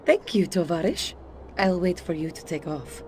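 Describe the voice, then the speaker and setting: A woman's voice: on the low side, sing-song, moderate pace, a little mellow, soft background music. An actress playing a self-assured, teasing female spy in a video game, with a flirtatious manner. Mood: coy, teasing. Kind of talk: video game cutscene acting.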